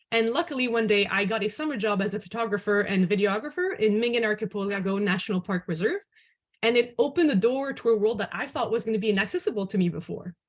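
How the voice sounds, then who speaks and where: A woman hosting an online dialogue, giving a prepared presentation. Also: on the high side. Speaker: host and moderator.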